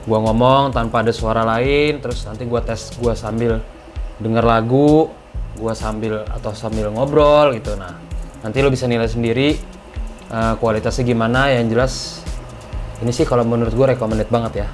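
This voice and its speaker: A man's voice: medium pitch, steady tone, normal speed, soft background music. A man with a Jakarta Indonesian accent talks as a motovlogger in a casual, colloquial review style, explaining things as he goes. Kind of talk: casual product review vlog.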